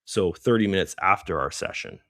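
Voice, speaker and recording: A middle-aged man hosting a live trading stream, hoarse and losing his voice, who rambles casually through market commentary with many fillers. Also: low-pitched, lively intonation, a little fast, a bit bright, dry recording, studio quality. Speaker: trading livestream host.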